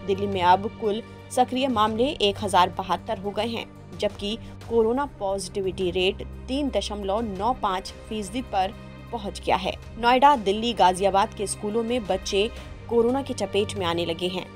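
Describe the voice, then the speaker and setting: Lady reading the news, formal, medium pitch, music behind her. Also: normal speed. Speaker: news presenter. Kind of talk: scripted news narration. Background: background music.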